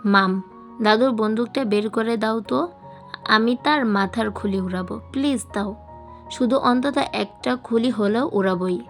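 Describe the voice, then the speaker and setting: An indignant little boy from an audiobook tale, acted by a woman. He is whiny, demanding and dramatic. The voice is fairly high, with music behind it. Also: unhurried. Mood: indignant, pleading.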